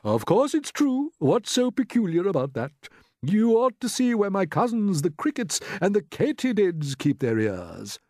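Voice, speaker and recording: Man acting out a proud, avuncular old grasshopper, drawn-out words. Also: high voice, huge pitch swings, darkish tone, no echo, studio quality, voice pushed up, exaggerated voice. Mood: gentle, teasing. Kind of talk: storybook character voice.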